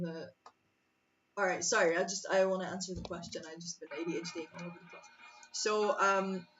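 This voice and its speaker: A chatty woman with Russian as her first language thinks aloud over chess puzzles, trailing off, restarting and laughing at herself. She sits a little back from a somewhat muffled microphone. Soft music plays faintly behind her. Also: medium pitch. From former USSR. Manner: chatty, self-interrupting, laughs often. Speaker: chess streamer. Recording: slightly distant, muffled mic with faint music.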